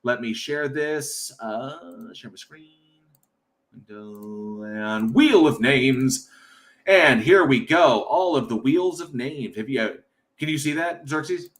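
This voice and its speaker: A man's voice: medium pitch, expressive, takes it slowly, a bit bright. An American man hosting a casual livestream, rambling with many fillers and reading chat aloud from home. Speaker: livestream host.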